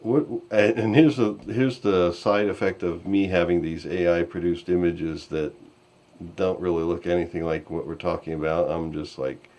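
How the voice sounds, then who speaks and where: A man with a very deep voice hosts a casual podcast. He speaks haltingly and a little scatteredly, with a self-deprecating streak, as if feeling his way through each explanation on an online call. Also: steady tone, a little mellow. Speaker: podcast host. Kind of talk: casual podcast discussion recapping a story. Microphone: online call microphone. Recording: voice call with intermittent distortion.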